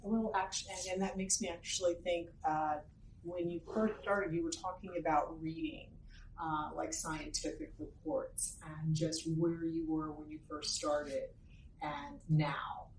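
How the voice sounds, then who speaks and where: A young woman moderating a student panel, asking questions in a warm, gracious way. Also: sits low, steady tone, unhurried. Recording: roomy, distant mic, faint noise.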